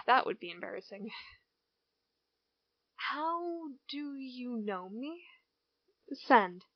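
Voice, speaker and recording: A slow, wistful bored teen girl. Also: a little high, animated, dull audio, exaggerated voice. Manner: slow, inward, murmuring thoughts.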